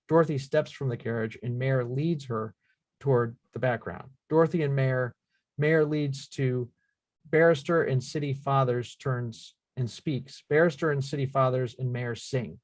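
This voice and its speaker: A man's voice: medium pitch, no echo. A man reading screenplay action lines as scripted narration at a table read, plain and factual with small stumbles. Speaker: stage-directions reader.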